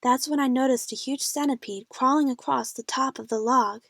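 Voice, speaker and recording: A woman's voice: high voice, moderate pace, gravelly, no room sound. A woman playing a frightened young female adventure fan, narrating her own jungle ordeal in a scripted audio drama. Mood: anxious.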